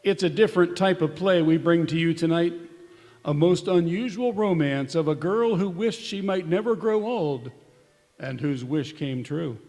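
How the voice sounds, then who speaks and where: A man's voice: fairly high pitch, normal speed, darkish tone, soft background music, lightly acted. A man playing a formal, salesmanlike 1930s radio announcer reading scripted sponsor copy. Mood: formal, promotional. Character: polished 1930s radio announcer pitching soap flakes. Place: live community theatre stage in church hall. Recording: echoey hall, long reverb.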